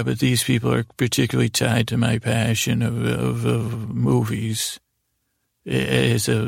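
Gravelly, creaky man rambling softly off to sleep. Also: mid-range voice, no room sound, clean studio sound. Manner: meandering, halting, trailing off mid-sentence. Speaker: podcast host. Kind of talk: rambling bedtime monologue for a sleep podcast.

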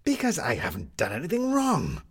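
A man's voice: on the high side, wildly swooping pitch, moderate pace, slightly rough, voice pushed up, exaggerated voice. A man voicing an indignant male schemer on the run in a storybook reading, with a blustering, theatrical, emphatic delivery. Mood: defiant.

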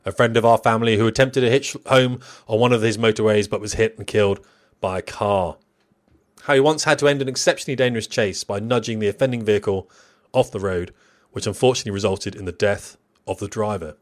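A middle-aged man reading a personal memoir as scripted narration, in a measured, reflective storytelling manner. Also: fairly low pitch.

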